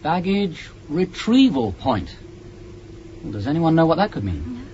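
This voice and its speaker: Eager space crewman, very expressive. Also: on the high side, a little slow. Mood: insistent. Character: eager, inquisitive young male spaceship crewman. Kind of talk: 1980s British radio drama acting. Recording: dull old radio recording, faint noise.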